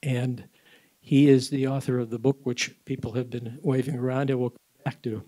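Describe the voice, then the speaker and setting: A man in his sixties moderating a panel discussion, holding a mic and asking long, halting questions with restarts. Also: medium pitch, level tone, mellow, breathy edge. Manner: rambling, hesitant questions with restarts. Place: conference room with audience. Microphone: handheld mic.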